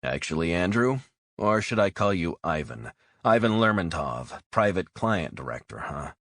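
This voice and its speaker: A man voicing a hard male interrogator who talks slowly and threateningly. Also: low voice, sing-song, fairly bright tone, dry recording, heavily acted. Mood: menacing. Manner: cold, slow and threatening.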